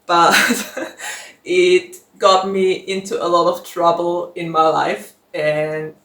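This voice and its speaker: A woman's voice: fairly low pitch, little pitch movement, slow, fairly bright tone. A woman whose first language is German, chatting casually to her viewers in a Q&A vlog, frank and laughing often. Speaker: book YouTuber. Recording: echoey room.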